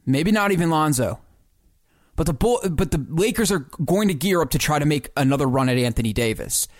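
A man's voice: fairly high pitch, animated, fairly quick, no room sound, studio quality. A man co-hosting a sports podcast, thinking through basketball scenarios and posing questions in a conversational way.

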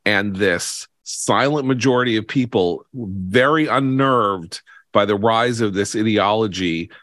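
Podcast host, American male, very deep voice, emphatic and halting political commentator, dry recording. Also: a bit bright, no room sound.

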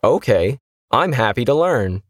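A man's voice: medium pitch, big pitch swings, measured pace, no echo, studio quality, voice pushed up. A man voicing an obliging AI machine trainee, brief and compliant, in an audio story.

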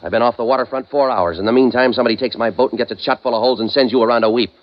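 An actor playing a cynical, wisecracking waterfront private eye in 1940s radio noir, deadpan and clipped. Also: male voice, medium pitch, very fast, close to an everyday voice. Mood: exasperated. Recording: muffled old radio broadcast, music behind.

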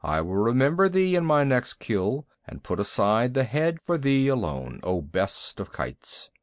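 A quick-witted, commanding panther from a storybook, read by a man. He speaks in courteous, formal, old-fashioned phrases with an animated pitch and a dry, close sound. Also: dry recording. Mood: grateful.

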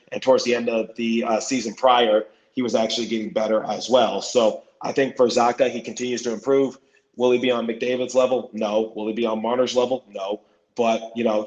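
A man with a hoarse voice, a guest on a sports podcast, talking casually and often repeating and restarting his words. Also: mid-range voice, darkish tone, reverberant, rough recording.

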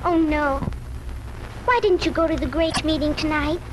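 Earnest, inquisitive farm child, girl, played by a female, very high voice, 1940s radio acting, noisy old recording. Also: steady tone, moderate pace, lightly acted. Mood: curious. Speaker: radio drama actor.

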